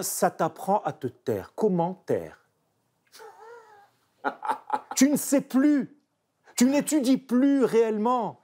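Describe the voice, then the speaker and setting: A man, a painter speaking French as a second language, reflecting emphatically in an interview. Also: sits high. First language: not French. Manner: animated, emphatic, searching pauses. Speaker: painter guest.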